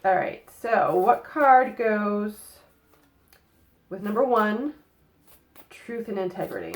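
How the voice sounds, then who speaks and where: A woman's voice: mid-range voice, takes it slowly. Young woman doing a card reading, bit stuffy and nasal from a cold, chatty, lots of um and okay. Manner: chatty, many fillers and tag questions. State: has a cold. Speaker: psychic card reader. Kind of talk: casual tarot-style reading to camera.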